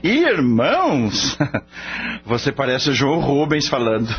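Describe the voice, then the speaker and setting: A man's voice: medium pitch, huge pitch swings, slow pace, husky, heavily acted. An actor playing a skeptical, stubborn male vet in a radio drama, with an emphatic, mocking way of talking. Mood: amused, mocking. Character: skeptical, scoffing male veterinarian, rational and stubborn. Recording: muffled radio drama with music bed.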